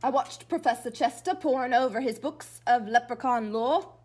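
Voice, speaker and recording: A woman's voice: a little high, moderate pace, darkish tone. A woman reading a storybook aloud as a teacher to children, expressively and dramatically, coaxing them with questions.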